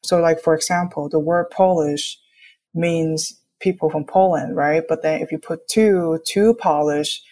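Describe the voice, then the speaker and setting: Young woman from hong kong, pretty low voice, artist chatting in an interview, explains stuff and keeps checking in. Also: fairly even intonation, unhurried, mellow. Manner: explanatory, often checks with "right?". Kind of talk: conversational interview, reflective.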